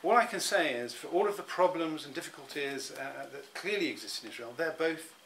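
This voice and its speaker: A man's voice: fairly high pitch, a little fast, a bit bright. Middle-aged man, reflective interviewee, stop-start delivery, faint hum behind. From England. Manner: thoughtful, many restarts and repeated words.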